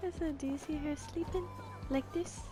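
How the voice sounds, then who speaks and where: A woman's voice: high voice, fairly even intonation, normal speed. A woman streaming a game with casual, playful, jokey reactions. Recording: game music behind the voice. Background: game music.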